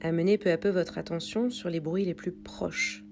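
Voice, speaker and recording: A woman reading a guided yoga nidra meditation in a calm, soothing, unhurried way with pauses. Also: on the low side, vocal fry, background noise. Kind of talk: guided meditation read from a script.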